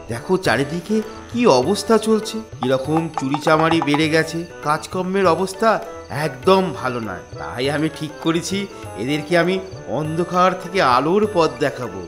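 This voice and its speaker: A man voicing a kindly, naive retired husband in a comic cartoon, a pompous do-gooder who gushes and gets excitable. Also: fairly high pitch, moderate pace, over music. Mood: earnest, preachy.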